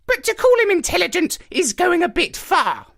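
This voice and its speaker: A man's voice: very high-pitched, huge pitch swings, fairly bright tone, voice pushed up, exaggerated voice. A man voicing a vain, pompous talking male parrot in a storybook reading, speaking with lofty condescension. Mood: haughty. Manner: lofty, condescending, drawn-out declarations.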